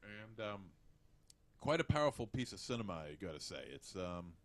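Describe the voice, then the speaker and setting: A man co-hosting a podcast, chatting casually about movies in a halting, hesitant way. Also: lowish voice, sing-song, no echo. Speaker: podcast co-host. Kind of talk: casual movie review chat.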